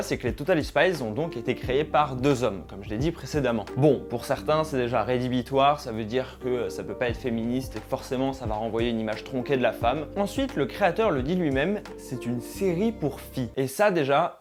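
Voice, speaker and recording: Young man, music behind him. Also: mid-range voice. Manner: informal, addresses viewers directly. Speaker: video essayist and host. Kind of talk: conversational video-essay commentary.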